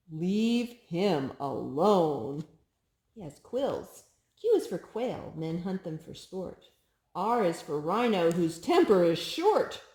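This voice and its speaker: A woman hosting a children's storytime, reading picture books aloud in an expressive, sing-song way to a small child. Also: fairly low pitch, roomy sound.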